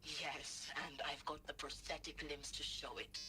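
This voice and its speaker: An actress playing a proud, battle-scarred captain in a video game, bitter and defiant, with formal, measured speech. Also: female voice, low voice, steady tone, bright, forceful tone, faint music behind. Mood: weary, resigned. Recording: game audio with clear background noise.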